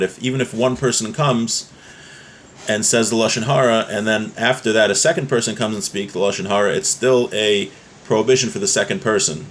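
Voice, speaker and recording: A man's voice: on the low side, fairly bright tone, a little hiss or hum. Man teaching an informal religious class. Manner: explanatory, often checking with "right?". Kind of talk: informal religious class, reading Hebrew text and explaining it.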